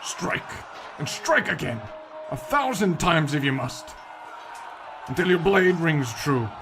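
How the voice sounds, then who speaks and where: Guy playing a gravelly stern sword master, swoops up and down, harsh drill style. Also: fairly high pitch, big pitch swings, slow pace, heavily acted. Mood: stern, commanding. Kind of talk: fantasy audio drama acting. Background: music.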